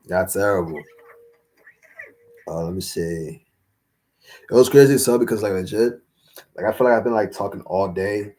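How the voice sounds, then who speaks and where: A young man hosting a casual livestream Q&A, rambling with lots of fillers and slang, his voice a little raspy from talking all day. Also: lowish voice, normal speed, long pauses, dark tone. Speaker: livestream host answering chat.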